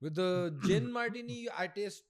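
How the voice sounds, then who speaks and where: A man's voice: high-pitched, moderate pace. A man, co-hosting a casual podcast and chatting with friends, mixing in Hindi and swearing freely. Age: 20s. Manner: animated, swearing, mixes Hindi into English. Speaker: podcast co-host. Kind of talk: casual chat among friends.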